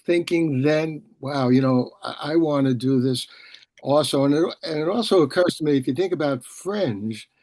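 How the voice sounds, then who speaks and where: A man hosting an author interview over a video call, speaking in a discursive, halting way as he thinks aloud. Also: a little high, darkish tone, no room sound. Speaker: host and publisher. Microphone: video call microphone.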